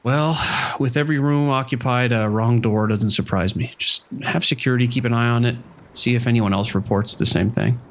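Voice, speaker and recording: A man playing a calm, cautious casino manager in an audio drama, giving instructions in a casual, steady way. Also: medium pitch, brisk, slight background noise, close to an everyday voice. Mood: calm, businesslike. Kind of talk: radio drama dialogue.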